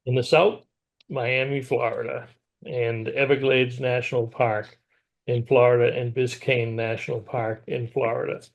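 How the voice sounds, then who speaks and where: A man with an American accent and a gravelly, creaky voice co-hosts a news show. He talks casually at a middling pitch, trading remarks like a regular presenter. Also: little pitch movement, a little slow, highs cut off. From New England, USA.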